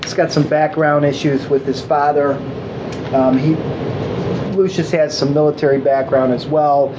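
A man giving a halting, informal introduction of his tabletop game character. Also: on the high side, steady tone, noisy background. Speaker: tabletop roleplaying player.

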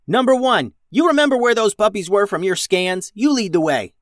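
A woman's voice: fairly low pitch, sing-song, measured pace, dry recording, studio quality, pitched above the performer's own voice. Man playing a hot-headed woman starship captain. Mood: shouting orders. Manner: shouting orders, hot-headed.

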